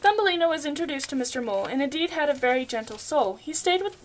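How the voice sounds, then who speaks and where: A woman's voice: sits high, lively intonation, fast, poor audio. A young woman narrating a scripted fairy tale as storyteller, stumbling over words now and then as she reads.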